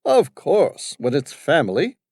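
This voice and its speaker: A man's voice: fairly high pitch, wildly swooping pitch, a little slow, creaky, darkish tone, slightly rough, no echo, studio quality, pitched above the performer's own voice, heavily acted. Man doing a posh British aristocrat. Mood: courteous. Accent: upper-class British. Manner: clipped, polite, superior. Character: older upper-class British gentleman, aloof.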